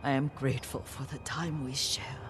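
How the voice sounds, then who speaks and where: An older woman acting a frail, confused, tender old servant in a game cutscene, with a breathy, halting, wistful delivery. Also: extremely low pitch, fairly even intonation. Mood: fading, tender. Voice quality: breathy, whispery. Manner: halting, wistful, softly trailing off. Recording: music behind the voice.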